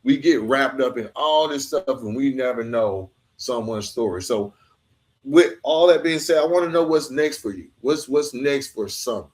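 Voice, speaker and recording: A man with a mid-pitched voice hosts a conversational podcast. He talks informally and earnestly, using everyday colloquial phrasing. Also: animated, moderate pace. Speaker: podcast host. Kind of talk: conversational interview.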